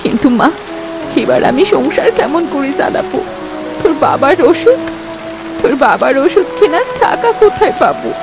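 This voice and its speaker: Woman acting a high-voiced, pleading poor mother. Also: very animated, normal speed, creaky, full character voice. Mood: anxious. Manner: pleading, anguished. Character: poor, pleading mother wrongly accused of theft. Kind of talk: cartoon moral-story dialogue. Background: music.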